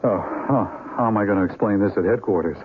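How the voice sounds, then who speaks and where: A man's voice: on the low side, quick pace, natural voice. A man playing a shaken, plain-spoken police officer in a radio drama, uneasy and looking for answers. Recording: lo-fi radio recording, faint noise.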